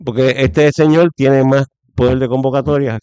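Middle-aged man, Puerto Rican accent, emphatic interview guest with firm opinions, mid-pitched, dry recording. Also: steady tone, moderate pace, a little mellow, clear, resonant tone, no echo. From Puerto Rico. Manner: opinionated, emphatic, repeats phrases for stress. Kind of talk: conversational political commentary.

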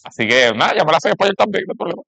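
A man's voice: high voice, expressive, very fast, vocal fry, pushed and bright, dry recording. A man with a Puerto Rican accent chatting casually and telling anecdotes in conversation. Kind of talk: casual conversation about parenting.

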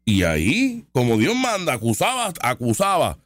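A man's voice: mid-range voice, huge pitch swings, normal speed, fairly bright tone, dry recording, clean studio sound. A man hosting a live commentary show, reacting to clips in a sarcastic, heated and argumentative way. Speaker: online commentary host.

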